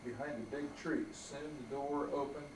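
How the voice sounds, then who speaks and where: Older male, grandfatherly storybook reader, slightly high pitch, roomy sound, distant microphone. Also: steady tone, normal speed. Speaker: grandfather reading bedtime stories. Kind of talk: storybook read-aloud to young children. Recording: roomy, distant mic, faint noise.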